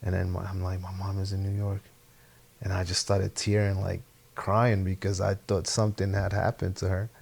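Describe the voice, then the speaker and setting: A young man with a Spanish accent, speaking English as a second language as a guest in a relaxed interview, halting and repeating his words. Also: sits low, gravelly, slightly rough. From Honduras. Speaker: podcast guest, former soccer player. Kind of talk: casual interview chat.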